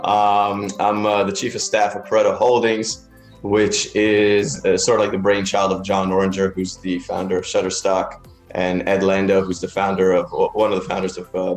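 A man in his thirties, originally from Israel, talking casually as an interview guest with many fillers and restarts. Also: lowish voice. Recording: roomy echoey room.

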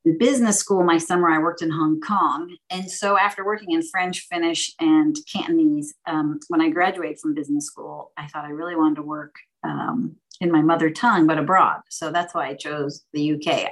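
A woman in her fifties with a notably low voice answers interview questions about her career in banking. She speaks in a measured, methodical way and sets out her ideas as orderly lists, pausing on the occasional "um". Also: poor audio. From San Francisco, United States. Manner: measured and orderly, laying out points as lists with "um" pauses. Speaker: guest, banking executive and board director.

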